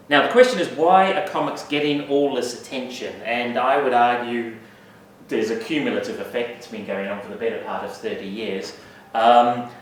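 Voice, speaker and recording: A man with a New Zealand accent gives a university lecture, dropping wry, self-deprecating asides between frequent hesitations. His voice sits in an ordinary middle pitch. He is picked up from some distance in a strongly echoing room with a faint hum of ambience. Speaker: guest speaker. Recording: echoey hall, mic at a distance.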